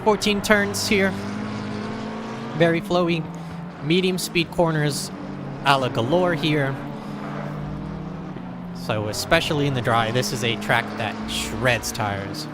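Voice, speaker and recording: A man's voice: a little high, slow, long pauses, loud music behind the voice. An American man giving casual, chatty commentary on a sim racing qualifying session. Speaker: race commentator. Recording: loud game engine noise behind.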